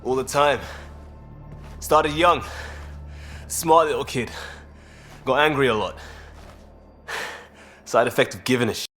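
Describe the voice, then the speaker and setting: A man's voice: medium pitch, a little slow, long pauses, a bit bright, close to an everyday voice. An actor playing an idealistic, restless young British crewman in a video game, speaking in clipped informal phrases. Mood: wistful, edgy. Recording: game dialogue over faint music.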